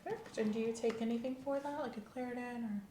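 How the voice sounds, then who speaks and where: A woman's voice: fairly high pitch, steady tone, fast, natural voice. Woman playing a courteous doctor, roomy ASMR roleplay. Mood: calm, attentive. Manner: polite, methodical, gently professional questioning. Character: courteous, reassuring female doctor doing a checkup. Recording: roomy sound with faint background noise.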